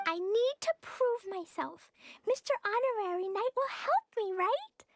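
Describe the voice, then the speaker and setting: A woman's voice: very high-pitched, lively intonation, unhurried, no room sound, heavily acted. A woman voicing an innocent, earnest little girl knight in an anime game, with a small childlike way of speaking. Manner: childlike, speaks of self by name. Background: children's game music.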